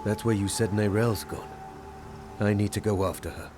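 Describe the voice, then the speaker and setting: A man plays a driven wandering hero in a game cinematic. His voice is very low, and he talks tersely and bluntly, with loud music behind him. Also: fairly even intonation, moderate pace, close to an everyday voice. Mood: earnest. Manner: terse, confrontational. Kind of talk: video game cinematic acting.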